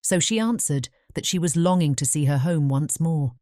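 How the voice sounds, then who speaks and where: Low, mellow woman storyteller. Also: expressive, moderate pace, dry recording, studio quality. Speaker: narrator. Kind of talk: scripted fairy tale audiobook narration.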